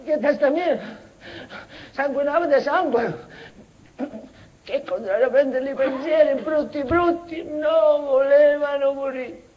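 High-voiced, halting madwoman. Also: muffled recording. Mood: agitated, tormented. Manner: broken invented dialect with obsessive repeated phrases and stammered restarts. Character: mad, childlike mother and former nursery teacher, obsessive and tormented. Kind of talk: tragicomic theatrical monologue.

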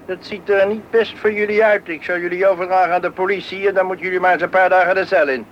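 An actor playing a stern official lecturing stray children in a Dutch radio play. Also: male voice, high voice, fairly even intonation, fast talker, natural voice. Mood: stern, threatening. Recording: dull, noisy old radio recording.

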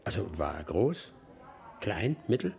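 An actor in a radio crime drama playing a patient middle-aged detective, questioning politely and methodically in a husky voice. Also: male voice, low voice, sing-song, measured pace, a little mellow, heavily acted.

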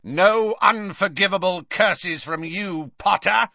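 A man narrating an audiobook as a furious, contemptuous wizard who shouts and taunts. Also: high voice, slow, creaky, no echo, highs cut off, pitched above the performer's own voice, full character voice. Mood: commanding, scornful.

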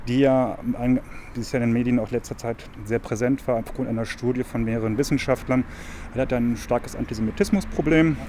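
Halting man commenting amid loud street noise. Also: medium pitch. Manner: halting, repeats words, argumentative. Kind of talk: spontaneous interview commentary. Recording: noisy outdoor street with traffic.

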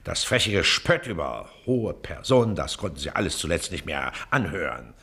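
A pompous baron and local magistrate in a radio drama. His pitch swings widely as he talks down to people, breaking off into self-important little hesitations and officious interjections. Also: big pitch swings, gravelly, fairly bright tone, heavily acted. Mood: probing. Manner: haughty, hesitant pauses, condescending interjections. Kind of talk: German radio play acting.

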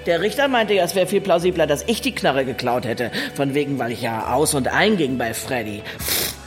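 A woman's voice: rumbling low, lively intonation, normal speed. An actress playing a middle-aged, bitter, foul-mouthed ex-convict, telling her story bluntly and sardonically in a radio drama monologue. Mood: indignant. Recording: faint music underneath.

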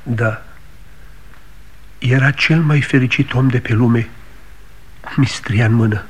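Man playing a calm, consoling neighbor. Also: medium pitch, breathy edge, close to an everyday voice. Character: steady middle-aged neighbor, sober and consoling. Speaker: actor. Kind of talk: 1960s radio drama acting.

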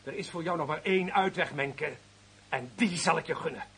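Husky, menacing, power-drunk official. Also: male voice, fairly high pitch, a little fast, fairly bright tone. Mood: scornful, threatening. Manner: menacing, theatrical, swinging between hiss and bellow. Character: vindictive, power-drunk male official threatening a prisoner. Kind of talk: radio drama acting.

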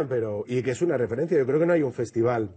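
A singer-songwriter from Madrid with a Madrid Spanish accent, talking reflectively in an interview and often restarting and repeating words. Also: male voice, medium pitch, expressive, quick pace, dark tone, slightly rough. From Madrid, Spain. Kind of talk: relaxed interview conversation.

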